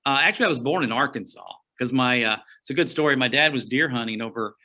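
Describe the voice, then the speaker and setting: A middle-aged man from Oklahoma, a guest outdoor writer, telling stories in a rambling, folksy way with frequent pauses and restarts over a remote call. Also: medium pitch, sharp tone. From Oklahoma, USA. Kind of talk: casual storytelling interview.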